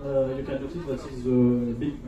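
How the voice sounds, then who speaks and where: A man presenting a project live in hesitant, halting non-native English. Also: medium pitch, little pitch movement, warm tone, some chatter behind. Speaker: presenter of a comics competition project. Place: large festival hall, talk to an audience. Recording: dull, very echoey, distant mic.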